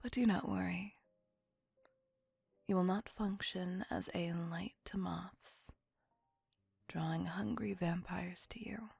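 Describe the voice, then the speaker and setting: A woman playing an ancient, possessive and tender female vampire in an intimate ASMR roleplay, breathy and whispery, with languid, formal, old-fashioned speech. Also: lowish voice, fairly even intonation, slow, pauses often, a little mellow, dry recording, faint music behind, heavily acted. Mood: doting, reassuring. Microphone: close microphone.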